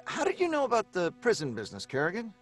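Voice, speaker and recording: An actor playing a flippant, excitable story writer in an old-time radio drama, breezy and bantering, mockingly unafraid. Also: male voice, high voice, fast, soft background music, heavily acted. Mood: breezy, curious.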